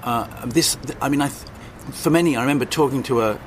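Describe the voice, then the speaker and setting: An older man, a longtime journalist, answering interview questions hesitantly, with many pauses and restarts and a wry edge. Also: medium pitch, noisy background. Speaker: journalist guest.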